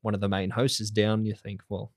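A man hosting a casual podcast chat, soft-voiced and relaxed, swearing now and then. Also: on the low side, fairly quick, no echo, clean studio sound. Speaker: podcast host. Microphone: studio mic in a home-built voiceover booth.

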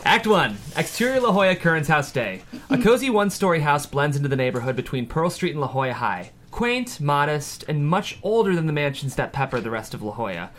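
A man's voice: on the high side, sing-song, a little fast, few pauses, fairly bright tone, slight background noise. A man reading scripted screenplay stage directions as the narrator of a table read.

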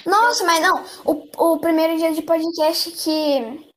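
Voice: very high voice, unhurried, fairly bright tone. A teenager in casual chat who laughs and teases. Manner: laughing, teasing.

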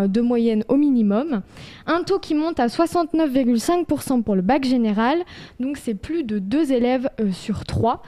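Late-teen female newsreader, mellow and hesitant. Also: high-pitched. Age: late teens. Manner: hesitant, with many fillers. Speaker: news presenter. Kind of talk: reading the news on a student radio show.

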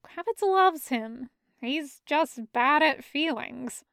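A woman voicing a gentle, concerned man in an audio story, speaking carefully and with some hesitation. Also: high-pitched, expressive, takes it slowly, dry recording, clean studio sound, exaggerated voice. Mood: reassuring.

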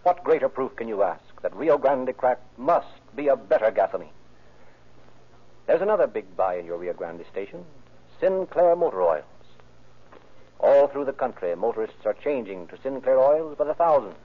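A man's voice: medium pitch, very animated, normal speed. An American man announcing and narrating from a script in 1930s old-time radio style. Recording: muffled, band-limited old radio recording.

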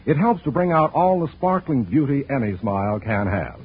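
Husky, animated old-time radio announcer man. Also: medium pitch, moderate pace. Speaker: announcer and sponsor's pitchman. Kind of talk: 1940s radio announcing and advertising, scripted. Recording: thin, narrow old radio recording.

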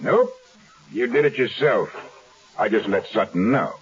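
An actor playing a cool, wry, laconic insurance investigator in a 1970s radio drama. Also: male voice, lowish voice, animated, unhurried, slight background noise. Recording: dull 1970s radio recording.

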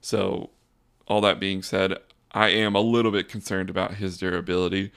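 A young man hosting a casual solo sports podcast, talking in a flat, monotone, rambling way. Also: low-pitched, normal speed, vocal fry, smooth. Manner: monotone, says so himself; relaxed, rambling with fillers and restarts. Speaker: podcast host.

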